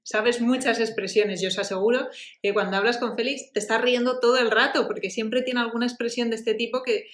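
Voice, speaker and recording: A woman's voice: a little high, fairly even intonation, fairly quick. A woman hosting a language channel, interviewing a guest with friendly, encouraging questions. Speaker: host and language teacher. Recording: echoey room.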